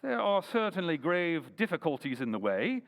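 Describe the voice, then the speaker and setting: Man playing gentleman detective, high voice, lively intonation, brisk, confident, wry, theatrical, old-time radio drama. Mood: polite, enigmatic. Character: confident, clever, wryly playful gentleman detective.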